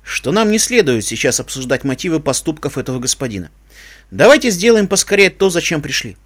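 A man voicing a cool, caring female pony leader with a measured, polite and decisive manner in a storybook. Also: fairly high pitch, sing-song, fairly bright tone, husky, exaggerated voice. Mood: composed.

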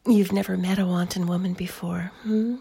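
A woman playing a lustful, coaxing seductress in an intimate audio roleplay for adults, speaking to her lover in a breathy, whispery, drawn-out way. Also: lowish voice, steady tone, dark tone, full character voice. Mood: teasing, coaxing. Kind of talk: intimate erotic audio roleplay addressed to the listener.

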